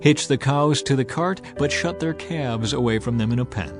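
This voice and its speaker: A grave pagan priest played by a male narrator gives measured, instructive counsel in a scripture drama. The voice is middle-pitched over faint music. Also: close to an everyday voice.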